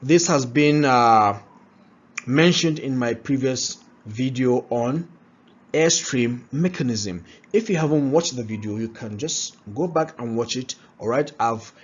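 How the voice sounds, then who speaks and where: Guy teaching vowels, keeps saying "all right?", mid pitch, kinda dull lo-fi audio. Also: a little slow, slightly rough. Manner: asks rhetorical questions, peppers speech with "all right?". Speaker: English pronunciation teacher. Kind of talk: classroom-style lesson. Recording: dull lo-fi recording.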